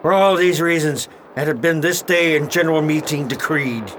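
A man's voice: moderate pace, close to an everyday voice. An actor playing a defiant, grumbling pirate crewman with a declamatory, accusing delivery in an audio drama. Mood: aggrieved, defiant. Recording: noisy audio with steady rumble.

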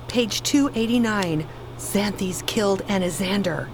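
A woman's voice: medium pitch, measured pace, noisy background, lightly acted. A woman playing a tired, earnest, exasperated wife in a radio play, passing on what her child says. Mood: matter-of-fact.

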